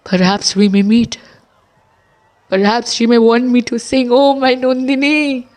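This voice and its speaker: A woman's voice: fairly high pitch, flat delivery, a little slow, a little mellow, lightly acted. A woman playing a devoted, wistful rebel singer in a theatrical audio drama. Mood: sorrowful, resigned. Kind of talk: theatrical stage-play acting in an audio drama.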